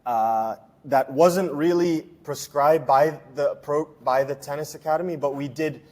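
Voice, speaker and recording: A man's voice: fairly high pitch, a little slow. Chatty young man, easygoing answers. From Hong Kong. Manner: relaxed, chatty, many fillers and restarts. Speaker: strength and conditioning coach. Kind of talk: casual interview answers.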